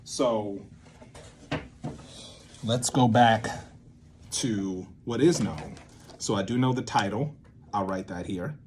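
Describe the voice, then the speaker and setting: A man with a fairly low voice talks through an informal lesson as he works it out aloud. He rambles, interrupting and correcting himself as he goes. There is some ambient noise in the recording behind him. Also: takes it slowly, pauses often, darkish tone. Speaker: creative methods teacher and playwright. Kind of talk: informal teaching at a whiteboard, thinking aloud.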